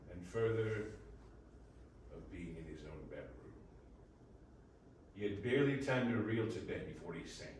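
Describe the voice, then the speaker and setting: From far off in a heavily echoing room, a man narrates a story for a stage reading. His delivery is dramatic and expressive, in a medium-pitched voice. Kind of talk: scripted storybook narration in readers theater. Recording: very echoey distant room, faint noise.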